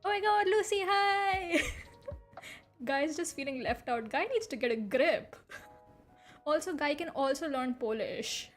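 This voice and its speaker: High-voiced, animated young woman streamer. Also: slow pace, pauses often, faint music behind. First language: Hindi. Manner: animated, incredulous, laughs often, blunt with swearing. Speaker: VTuber streamer. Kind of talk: reading and reacting to online posts on a live stream.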